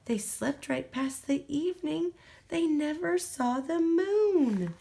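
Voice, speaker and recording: Female storybook reader, expressive and deliberate, slow pace, slightly high voice with vocal fry. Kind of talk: children's picture book read-aloud.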